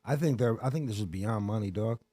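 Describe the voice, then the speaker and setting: A man's voice: lowish voice, brisk, warm tone, dry recording, clean studio sound. A man speaking as a podcast guest in slangy, profane casual chat, rambling with frequent restarts.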